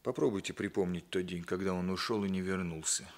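An actor playing a patient, insistent police captain, measured and probing, in a 1960s Soviet radio drama. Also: male voice, low-pitched.

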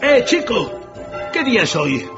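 An actor playing a repentant old miser, fervent and exclamatory, in a theatrical radio drama. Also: male voice, extremely high pitch, big pitch swings, a little slow, exaggerated voice. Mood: delighted. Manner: exclamatory, emotional, repeats words. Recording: dull band-limited audio, music underneath.

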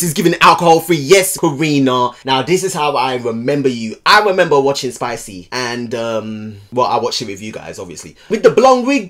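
A man's voice: on the high side, a bit bright. A young man hosting a music video reaction from his bedroom, sassy and exclamatory, swearing freely. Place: bedroom at home.

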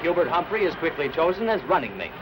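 A man reading scripted 1960s newsreel narration in a measured, formal announcer style. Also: a little high, sing-song, heavy background noise. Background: background music.

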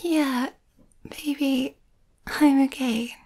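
Shy young werewolf girlfriend played by a woman for ASMR roleplay: high, mellow, hesitant and often half-whispered. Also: steady tone, extremely slow, exaggerated voice. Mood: nervous, tender.

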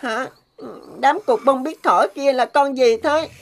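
A woman voicing a plaintive, timid seven-year-old boy in an animated fairy tale. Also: sits high, measured pace. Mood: curious wonder. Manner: plaintive, childlike.

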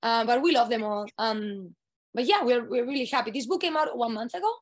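A woman's voice: on the high side, lively intonation, brisk. A woman from Spain with a Spanish accent, a native Spanish speaker, talking enthusiastically as an author in a video-call webinar. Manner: enthusiastic, speaks fluent English with frequent "um". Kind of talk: webinar conversation.

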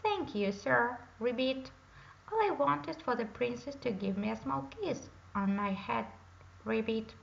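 A woman voicing a polite, gentle male bullfrog who speaks formally, in a dull lo-fi recording. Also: fairly high pitch, lively intonation, measured pace, gravelly, full character voice. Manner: courteous, formal.

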